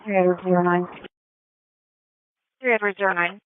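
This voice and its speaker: A woman's voice: fairly low pitch, fairly even intonation, normal speed, clean tone, dry recording. A woman police officer making brief check-ins and requests over a police radio. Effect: police radio transmission. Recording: thin phone-like police scanner radio.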